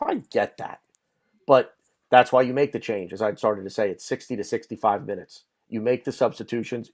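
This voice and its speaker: Animated man hosting a sports podcast. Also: medium pitch. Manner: animated, repeats words when starting sentences. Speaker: podcast host. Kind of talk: casual sports podcast chat.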